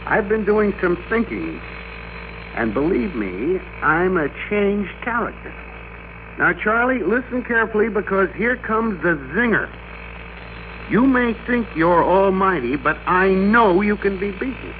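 An actor playing a meek middle-aged American office worker turned blustering, bossy master, in emphatic classic radio drama style. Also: male voice, high voice, animated, measured pace. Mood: gloating, threatening. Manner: emphatic, theatrical, commanding. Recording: muffled classic radio recording with hum.

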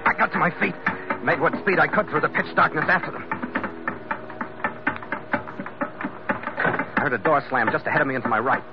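An actor playing a tough, wisecracking ship captain who narrates and commands in clipped hardboiled style, in a 1940s radio adventure. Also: male voice, fairly high pitch, expressive, very fast. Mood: tense narration. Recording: muffled, noisy old radio recording.

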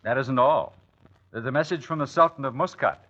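An actor playing a president's respectful young son and aide, businesslike with dry wit, in an old-time radio drama. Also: male voice, medium pitch, brisk, a bit bright, close to an everyday voice. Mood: dryly amused. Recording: dull, lo-fi old radio recording.

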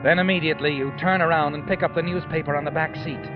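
A man's voice: a little high, fairly even intonation, fast talker, close to an everyday voice. Guy doing a creepy knowing night narrator, old radio suspense, muffled sound, music behind. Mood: knowing, insinuating. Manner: knowing, dramatic, insinuating.

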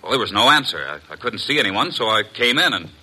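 An actor playing a nervous, defensive airline pilot, edgy and halting, in a 1940s radio drama. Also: male voice, fairly low pitch, very animated, pushed and bright, husky, exaggerated voice, low-quality recording.

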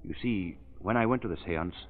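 A man's voice: low-pitched, fairly quick, natural voice. A male actor playing an earnest, bereaved government man with a hesitant manner in a 1940s-style radio play. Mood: earnest, wistful. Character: earnest bereaved War Department man, believer in seances. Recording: noisy, phone-like old radio recording.

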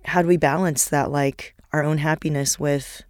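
Woman host, lowish voice, relaxed chat, dry studio. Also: dry recording. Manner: relaxed, reactive with quick interjections. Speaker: podcast co-host. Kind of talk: casual chat, introducing guests. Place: podcast studio.

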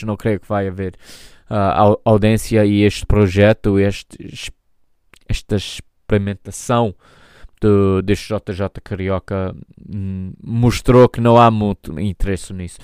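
Halting American man speaking European Portuguese. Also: fairly low pitch, steady tone, a little mellow, smooth, no echo, studio quality. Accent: European Portuguese, spoken by an American. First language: English. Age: 30s. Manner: halting, many restarts and searching for words. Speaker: podcast host. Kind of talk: solo podcast monologue.

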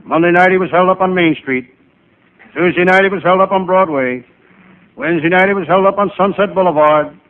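A male comedian playing a wisecracking, hapless private eye, narrating in deadpan first person in old-time radio comedy style. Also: high voice, a little fast, close to an everyday voice. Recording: noisy, tinny vintage radio recording.

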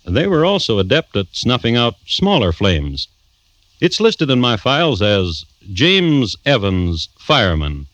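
An actor playing a wry, macabre crime-history host, narrating a 1950s radio drama deliberately and deadpan, with dramatic pauses. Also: male voice, mid-range voice, dry recording. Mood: dry, macabre. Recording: dull, dry vintage radio recording.

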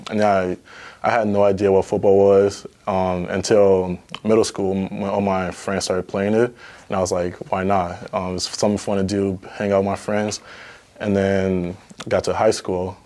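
A young college athlete answers in an easygoing, modest way. His voice is deep and fairly flat, with lots of "um" and "like.". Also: moderate pace. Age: young adult. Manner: easygoing and modest with many fillers. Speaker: college football player. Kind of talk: casual interview answers.

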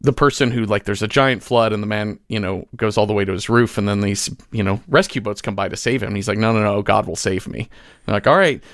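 A man with a fairly low voice and a noticeable creak talks as a podcast guest. He works through his thoughts casually, restarting and circling as he reflects. The sound is dry and close. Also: dry recording. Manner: halting, thinking aloud, peppered with "like". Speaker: podcast guest, fiction writer. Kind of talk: casual reflective interview conversation.

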